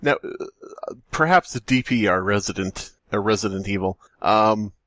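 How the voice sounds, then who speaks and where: A man with a fairly low voice co-hosts a podcast. He talks in a loose, joking, conversational style, recorded dry and close. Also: moderate pace, fairly bright tone, dry recording. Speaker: podcast co-host. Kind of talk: casual, joking group chat.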